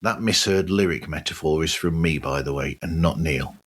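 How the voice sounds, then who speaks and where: A man hosting a podcast, reading a scripted news roundup in a measured, deliberate way. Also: rumbling low, slightly rough. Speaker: podcast host.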